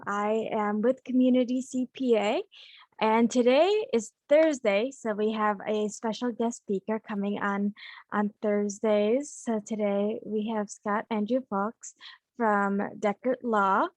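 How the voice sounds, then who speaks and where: A woman's voice: fairly high pitch, no echo. A woman hosting a webinar, giving a friendly, welcoming introduction of a guest speaker. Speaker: webinar host.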